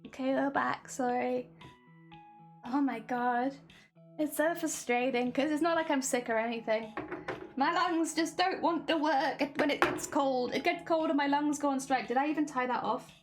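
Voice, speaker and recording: A woman's voice: high-pitched, normal speed, over music. A young woman chatting casually on a craft stream while sewing, rambling with lots of fillers and a slight winter cough.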